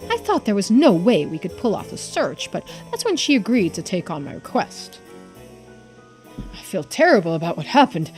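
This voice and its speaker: A woman voicing a polite, grave man in a mystery game, speaking formally and with measured courtesy. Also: on the high side, a little mellow.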